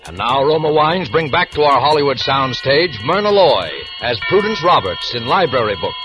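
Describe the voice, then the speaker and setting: A man's voice: mid-range voice, expressive, moderate pace. A man announcing the show in an old radio broadcast with a grand, declamatory delivery. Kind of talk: formal radio introduction. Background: music.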